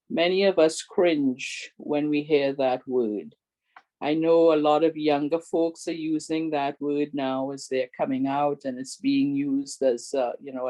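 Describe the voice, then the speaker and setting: Slow, earnest older woman presenter. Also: sits low, warm tone. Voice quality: big, strong voice. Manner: earnest, pleading, repeats requests. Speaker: presenter and advocate. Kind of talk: community awareness talk.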